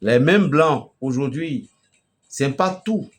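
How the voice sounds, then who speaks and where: A man from Benin with a Beninese French accent, preaching an emphatic, storytelling message to a fellow pastor. His voice swells loudly at the climaxes. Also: medium pitch, expressive, slow pace, soft background music. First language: a local Beninese language.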